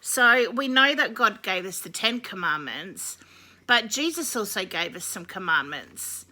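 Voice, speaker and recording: A woman talking slowly and unhurriedly, her tone strikingly bright and forward. Her voice is a little croaky today, and she meanders through her explanation with ums and quick self-corrections. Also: mid-range voice, big pitch swings. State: slightly croaky voice. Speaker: video host explaining a holiday. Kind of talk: casual explanatory talk to camera.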